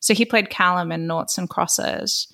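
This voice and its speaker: A woman's voice: on the low side, measured pace, creaky, bright, forceful tone. A woman chatting casually and informally as a co-host in a panel talk.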